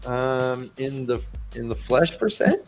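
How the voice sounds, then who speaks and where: A man co-hosting a podcast, excitable and goofy, laughing often. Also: mid-range voice, measured pace, faint music behind. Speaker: podcast co-host. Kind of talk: casual podcast chat.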